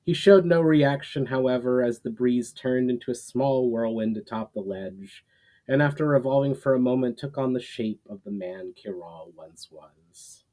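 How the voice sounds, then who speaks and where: A man who is an author reading his own fantasy writing aloud in a storytelling style, a little halting in delivery. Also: mid-range voice, dark tone. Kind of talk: casual intro, then dramatic storytelling read aloud.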